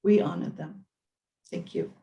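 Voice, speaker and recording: An older woman from Malaysia and Singapore, speaking in a deliberate, solemn way as she leads a formal grounding over a video call. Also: dark tone. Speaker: speaker giving a land acknowledgment. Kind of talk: measured guided grounding and formal address. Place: virtual event over video call, from Minnesota.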